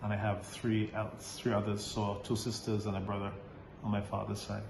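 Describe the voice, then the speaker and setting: Hesitant priest, low voice. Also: male voice, monotone, gravelly. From Barbados. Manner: hesitant, halting, many fillers and restarts, laughs often. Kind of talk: casual interview answers. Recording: roomy recording with faint background noise.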